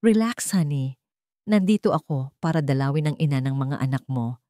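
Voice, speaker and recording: Woman playing a venomous villainess, haughty, taunting, swooping pitch, dry sound, radio drama. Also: expressive, moderate pace, mellow, no room sound. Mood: mocking. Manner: taunting, haughty, mixes in English. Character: scheming, haughty female villain, mocking and venomous.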